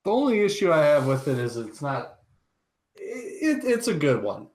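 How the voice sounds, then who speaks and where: A man's voice: fairly high pitch, very animated, normal speed, darkish tone. A man co-hosting a podcast in casual chat, picking his words with some hesitation. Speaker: podcast co-host.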